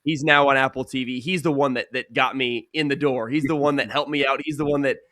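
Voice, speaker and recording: A man's voice: mid-range voice, fairly even intonation, a little fast, a bit bright, no echo, studio quality. A young man, a sports broadcaster, giving earnest, conversational answers as a guest in a casual interview. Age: young adult.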